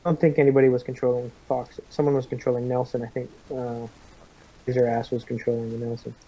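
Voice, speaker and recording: A man playing a tabletop roleplaying game, talking casually and haltingly, in and out of character. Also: mid-range voice, little pitch movement, dark tone, rough recording.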